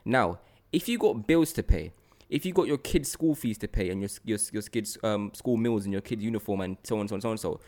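A man, chatting casually as a podcast co-host and telling rambling, slangy, animated stories. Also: lowish voice, fairly quick. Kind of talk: casual chat.

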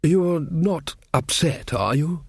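A man's voice: fairly high pitch, lively intonation, takes it slowly, breathy edge, dry recording, clean studio sound, voice pushed up, full character voice. A man voicing an earnest, pedantic young king who stammers and lectures cheerfully. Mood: earnest, fussy. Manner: pedantic, self-satisfied, stammering.

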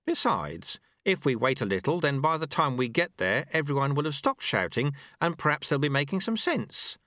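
A man's voice: on the high side, very animated, moderate pace, fairly bright tone, no echo, voice pushed up. A man puts on a sly old monk master with a raised, sing-song voice that swoops up and down. He teases in a dry, mocking way and never hurries, a storybook sage who enjoys unsettling his pupil. The sound is close and dry. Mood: calm. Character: sly, teasing old male monk and martial arts master. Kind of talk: audiobook character voice.

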